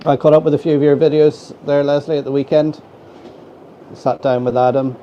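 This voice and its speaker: A man's voice: on the high side, flat delivery, a little fast. An Irish man with a head cold, sounding stuffy, chatting casually as he hosts a live stream and greets viewers by name. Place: home model railway room. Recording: dull, slightly lo-fi home mic with faint noise.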